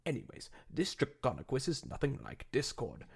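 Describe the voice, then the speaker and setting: Man voicing an affectedly refined pony author, gravelly, animated. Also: medium pitch, normal speed, full character voice. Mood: earnest pitching. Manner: affected, mock-sophisticated, expository. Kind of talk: fan fiction dramatic reading.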